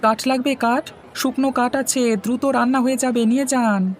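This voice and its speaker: A woman's voice: high voice, fairly even intonation, normal speed, faint music behind, some chatter behind, close to an everyday voice. A woman voicing meek young Kanu. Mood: polite. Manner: polite, submissive. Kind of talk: cartoon dubbing.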